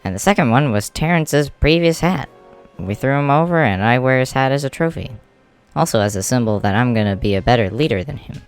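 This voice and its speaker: A man acts out a male clan boss for a cartoon comic dub, stubborn and polite. His voice sits at a middling pitch and he talks in a chatty, emphatic way. Music plays behind him. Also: animated, a little fast, clean tone, natural voice. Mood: explaining, proud. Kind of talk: amateur comic dub acting.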